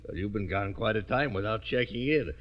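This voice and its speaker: An actor playing an easygoing police sergeant, brisk and wisecracking, in an old radio drama. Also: male voice, lowish voice, natural voice. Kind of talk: 1940s radio acting. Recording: muffled old radio recording.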